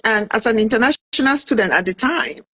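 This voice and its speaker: A woman's voice: a little high, a little fast, slightly rough. A woman in her fifties from Cameroon, a guest telling her story in a casual interview and acting out what she said back then. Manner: animated, acts out her own past remarks in quotes. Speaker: guest, author and food entrepreneur.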